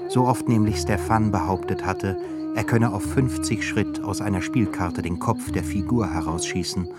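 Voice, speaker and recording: A man narrating a radio drama in a measured, grave way. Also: lowish voice. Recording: music bed under voice. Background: music.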